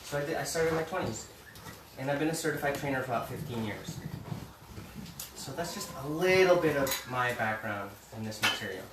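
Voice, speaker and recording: A man in his forties from Mexico City, leading a workshop in a gentle, unhurried way. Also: mid-range voice, animated. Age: 40s. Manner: gentle, unhurried, reads poem slowly. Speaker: communication trainer. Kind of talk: relaxed workshop talk with a poetry reading. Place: meeting room with a live group. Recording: roomy, distant, faint hiss.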